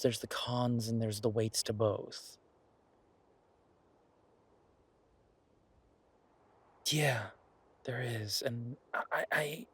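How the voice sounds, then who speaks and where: A man playing an earnest, playful boyfriend in a naturalistic one-sided audio roleplay, talking in a halting, wry, conversational way. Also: medium pitch, husky. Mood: hopeful, uneasy. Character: earnest, playful werewolf boyfriend pitching a farm venture.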